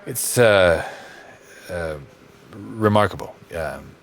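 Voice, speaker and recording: A man playing a shy, gentle, scholarly character in an audio drama, speaking hesitantly with stammers. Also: sits low, animated, takes it slowly, vocal fry, full character voice. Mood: awkward fond. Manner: hesitant, stammering, nervous small laughs. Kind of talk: naturalistic audio drama acting.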